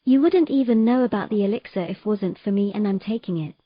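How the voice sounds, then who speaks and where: A woman's voice: mid-range voice, a little fast, no room sound, close to an everyday voice. Woman playing a headstrong mermaid, dry muffled sound. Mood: defiant. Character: determined, defiant young mermaid, impatient and yearning. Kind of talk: dramatic audio fiction acting.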